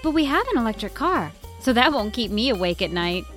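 A woman's voice: fairly high pitch, sing-song, brisk, faint music behind. A woman playing a sociable, gushing suburban neighbor in an audio drama, drawing out her words.

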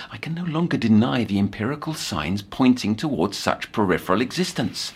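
An actor playing an obsessive, scholarly therapist, speaking formally and articulately in a brooding audio drama. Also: male voice, low-pitched, a bit bright, slight background noise. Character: obsessive, scholarly male therapist-researcher keeping session notes. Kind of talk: dramatic monologue, audio journal.

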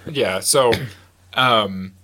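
Male podcast host, bright voice, a little sniffly, chatty and loose. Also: lowish voice, very slow. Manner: laughs often, rambling with fillers. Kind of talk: casual podcast chat. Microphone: headset mic.

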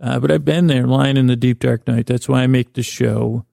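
A man with a creaky, gravelly voice hosting a bedtime podcast, rambling in a halting, meandering way with stammered tangents and pauses to lull listeners to sleep. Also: medium pitch, steady tone, mellow, no echo, studio quality.

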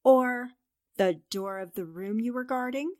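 A woman playing a sharp, commanding newspaper columnist who questions briskly in a 1940s-style radio drama. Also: on the high side, close to an everyday voice. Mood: probing.

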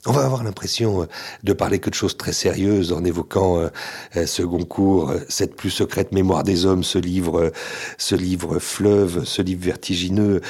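A low-pitched male interviewer with a husky, slightly breathy voice. He builds long, ornate questions in a formal tone and fills them with hesitant pauses. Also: steady tone, darkish tone. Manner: elaborate, formal phrasing full of hesitations. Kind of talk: radio-style literary interview.

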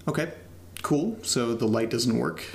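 Casting: male actor as uneasy young guy in found-footage horror audio drama, creaky mid-pitched voice, muttering and self-deprecating. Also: a little slow, slight background noise, natural voice. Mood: uneasy, curious. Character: uneasy young man recording strange noises in his new house.

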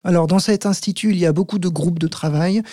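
A man who teaches maths, answering interview questions in a measured, step-by-step way with frequent hesitant pauses. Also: high voice, mellow, no room sound, clean studio sound. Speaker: maths teacher, podcast guest.

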